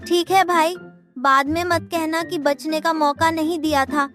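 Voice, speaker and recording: A woman's voice: very high voice, fairly even intonation, unhurried, fairly bright tone, faint music behind. A woman voicing a greedy, scheming male village clerk in a children's cartoon, sly and taunting. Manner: sly taunting, repeats a catchphrase.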